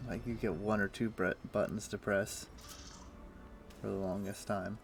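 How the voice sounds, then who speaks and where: A man's voice: fairly low pitch, steady tone, measured pace, gravelly, slight background noise. A man streaming a video game, chatting casually and thinking aloud with lots of fillers. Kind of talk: casual gameplay chat. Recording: faint music in the background. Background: soft game music.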